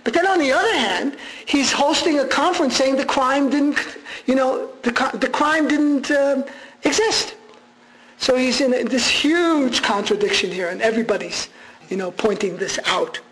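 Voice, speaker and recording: A man's voice: very high voice, normal speed, a bit bright, rough recording. A man whose first language is Armenian, giving a conversational public lecture with frequent restarts and fillers.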